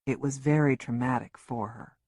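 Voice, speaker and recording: A woman voicing Lewis, a soft-spoken but firm man who reassures a distraught mother. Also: mid-range voice, fairly even intonation, moderate pace, no echo, clean studio sound, lightly acted. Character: steady male agent, worried family friend.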